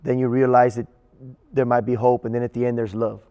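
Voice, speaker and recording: A male theater director reflects earnestly in an interview. His delivery is halting and fairly monotone, with a mellow tone and noticeable room reverb. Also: medium pitch, clean tone, lo-fi sound. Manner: halting, repeats words while thinking.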